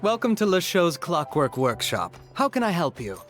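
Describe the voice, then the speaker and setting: A man's voice: on the high side, sing-song, moderate pace, a bit bright, soft background music. A man playing a polite, cooperative clockwork shopkeeper in a video game, with courteous customer-service speech. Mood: welcoming. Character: polite male clockwork toy shopkeeper, cooperative, slightly puzzled.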